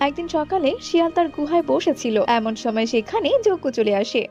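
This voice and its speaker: High-voiced woman narrating a story. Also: lively intonation, brisk. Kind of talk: scripted children's story narration. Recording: dull lo-fi audio with music behind.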